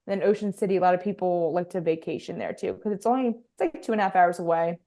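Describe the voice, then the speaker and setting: A woman's voice: fairly low pitch, fast, warm tone. A young woman with a Baltimore accent, a guest chatting casually in an interview with many fillers and restarts. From Baltimore, Maryland.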